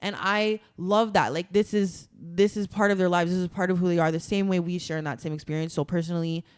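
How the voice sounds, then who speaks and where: Young woman, podcast guest, smooth clear tone, dry close sound, emphatic and chatty. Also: lowish voice, fairly even intonation, fairly quick, no echo. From Toronto, Canada. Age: young adult. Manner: chatty and emphatic, many "like" fillers. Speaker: guest, carnival masquerader. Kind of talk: casual podcast conversation.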